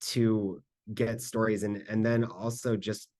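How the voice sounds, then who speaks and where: A man's voice: on the low side, little pitch movement, unhurried, a little mellow. A man speaking as a panelist in a conference Q&A, explaining things conversationally and often checking for agreement. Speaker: panelist, school district communications staffer. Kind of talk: conference presentation Q&A, informal advice.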